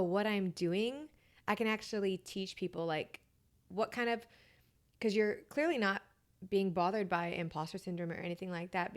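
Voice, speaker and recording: Encouraging woman host in her thirties. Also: fairly low pitch. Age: 30s. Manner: warm and encouraging, chatty. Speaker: podcast host. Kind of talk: casual interview.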